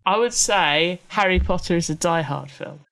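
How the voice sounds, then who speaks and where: A woman's voice: fairly low pitch, normal speed, gravelly, fairly bright tone, poor audio. A woman co-hosting a comedy podcast in a playful, casual chat, over a remote call. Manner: playful, breaks into sung and read-out German. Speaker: podcast co-host.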